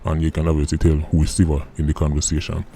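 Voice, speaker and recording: A man's voice: rumbling low, completely flat delivery, quick pace, creaky, dark tone. A Jamaican man giving explanatory commentary as a vlog host, emphatic and often checking in with "right". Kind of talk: explanatory commentary in Patois-mixed English. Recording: music under the voice.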